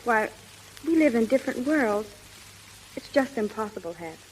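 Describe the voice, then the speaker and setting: An actress playing a gentle, well-bred young woman with polite, proper diction in 1930s radio acting style. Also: on the high side, lively intonation, normal speed, lightly acted. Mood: flustered, apologetic. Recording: noisy, hissing 1930s radio recording.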